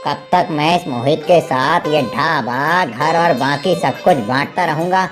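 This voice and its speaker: A woman's voice: deep voice, unhurried. A woman takes on a greedy cartoon man, a jealous elder brother. He schemes and broods with self-important bossiness, with faint music underneath. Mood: brooding, scheming. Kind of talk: animated moral story voice acting.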